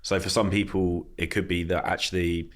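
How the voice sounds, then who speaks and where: Male podcast host with a very low voice, easygoing conversational delivery. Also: normal speed. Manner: relaxed, laughs easily. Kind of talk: casual podcast chat.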